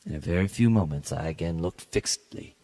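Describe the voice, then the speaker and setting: A man with a very low, husky voice reads a classic tale aloud. His tone is heavily gravelly and his delivery is formal and measured, with a theatrical touch suited to dramatic literary narration. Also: dark tone. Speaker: podcast host and story reader.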